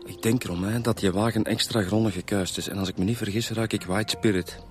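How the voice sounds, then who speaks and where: Radio drama casting: male actor for pointed, sceptical inspector, Flemish accent, lowish voice, dry delivery. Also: fairly even intonation, fairly quick, soft background music, close to an everyday voice. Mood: matter-of-fact, suspicious. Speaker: homicide detective. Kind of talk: naturalistic radio drama acting.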